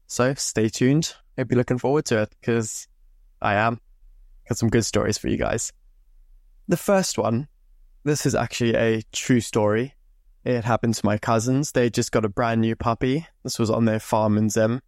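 A man's voice: medium pitch, fairly even intonation, moderate pace, darkish tone, no room sound. A young man from Zimbabwe hosting a podcast, telling stories in a loose, chatty way and swearing freely into a close mic. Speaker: podcast host. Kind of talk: casual storytelling monologue.

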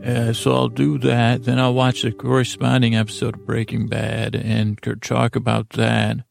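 A man hosting a bedtime podcast in a meandering monologue, halting and interrupting himself as he drifts into tangents. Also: mid-range voice, completely flat delivery, creaky, no room sound, soft background music. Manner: rambling, halting, self-interrupting with trailing tangents. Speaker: podcast host.

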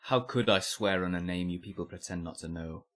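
A man's voice: low-pitched, brisk, fairly bright tone. A man playing a defiant, zealous nineteen-year-old blacksmith's apprentice in an audio drama, answering an interrogation in a terse, bitterly sardonic manner. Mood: cold, defiant.

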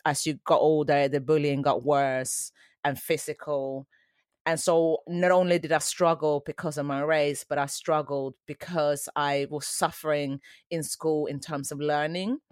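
A woman's voice: low-pitched, fairly even intonation, measured pace, no echo, studio quality. A woman in her forties who grew up in Sweden, a dance teacher answering interview questions in an earnest, reflective way.

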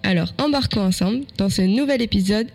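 A woman host reading a calm, scripted podcast introduction and speaking straight to the listener. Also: mid-range voice, fairly quick, vocal fry. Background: soft music.

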